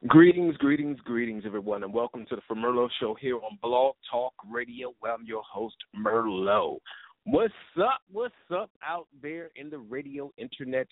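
A man's voice: medium pitch, expressive, moderate pace. A man hosting an internet radio show in a casual, informal, rambling, slangy way. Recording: roomy, phone-like internet radio line.

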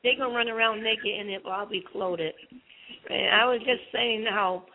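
A woman's voice: a little high, normal speed, slight background noise. A woman with a young-sounding voice, calling into a radio show and rambling playfully. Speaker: caller. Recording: phone line with crowd behind.

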